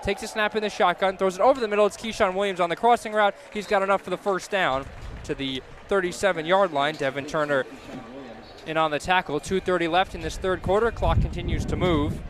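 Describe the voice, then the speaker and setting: A man calling football play-by-play live on radio, building to excited calls on big plays. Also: sits high, brisk, background noise. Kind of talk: live radio sports commentary. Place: live football game broadcast.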